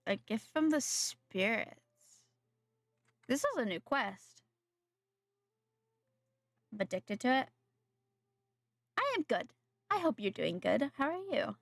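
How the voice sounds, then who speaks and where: A woman's voice: on the high side, big pitch swings, slow, gravelly, long pauses, no echo. A young adult woman streaming a game, chatting casually with viewers in a slightly nasal voice from a sore throat, rambling and correcting herself. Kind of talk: casual live-stream chat while gaming.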